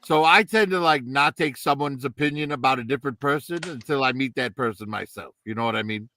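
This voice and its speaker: A man's voice: medium pitch, brisk, pushed and bright. A man with an Italian accent, talking in a put-on character voice as a guest in a casual chat.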